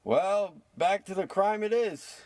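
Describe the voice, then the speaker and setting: A man's voice: high voice, slow pace, a little mellow, dry recording. A man playing a hapless small-time crook, a self-pitying tough guy, with melodramatic wisecracks in a parody of old radio drama. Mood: earnest.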